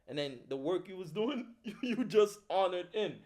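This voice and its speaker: A man's voice: high-pitched, animated, normal speed, a little mellow. A middle-aged man, a film producer talking casually and reflectively as an interview guest, using street slang. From Haiti.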